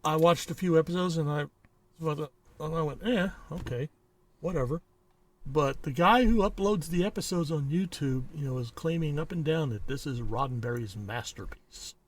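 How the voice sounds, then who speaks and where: A man's voice: fairly high pitch, normal speed. A middle-aged or older man co-hosting a podcast, chatting casually with dry, wry asides. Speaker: podcast co-host. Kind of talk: casual chat.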